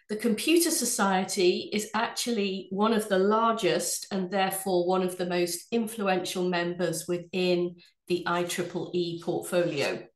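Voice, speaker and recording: A woman from England with an English accent, head of sales, presenting a webinar from her office in a measured, polished way. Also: medium pitch, reverberant. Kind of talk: scripted product presentation.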